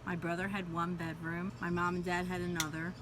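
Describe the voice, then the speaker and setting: Low-voiced woman, slow. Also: little pitch movement, noisy background. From South Philadelphia. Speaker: interviewee.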